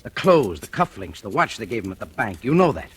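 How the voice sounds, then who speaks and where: A blunt police chief. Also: male voice, fairly low pitch, big pitch swings, a little fast. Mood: pressing. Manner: pressing, clipped interrogation. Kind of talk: 1940s radio acting. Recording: noisy old radio broadcast.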